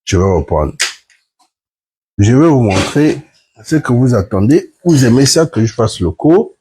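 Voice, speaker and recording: A man's voice: mid-range voice, very animated, a little slow, dark tone, a little hiss or hum. A man, sick with the flu, earnestly explaining point by point to viewers in a live stream. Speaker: platform member hosting a live stream.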